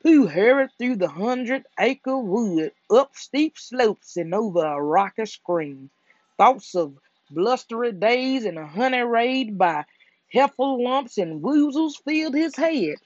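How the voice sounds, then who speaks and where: A storyteller reading a children's book aloud for bedtime in a soothing, deliberate way. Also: medium pitch, expressive, darkish tone, no room sound, dull audio.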